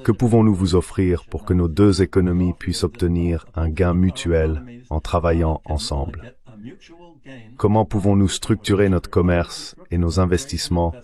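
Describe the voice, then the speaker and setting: A man giving a measured, formal voice-over translation of an economist's analysis in an interview. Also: low-pitched, fairly even intonation, mellow.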